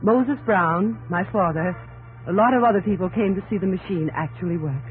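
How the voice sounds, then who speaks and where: An actress playing a gentle young New England woman who tells her story earnestly and with measured delivery in a 1950s radio drama. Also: fairly low pitch, faint music behind, lightly acted, rough recording. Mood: earnest, wistful. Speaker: narrator and actress.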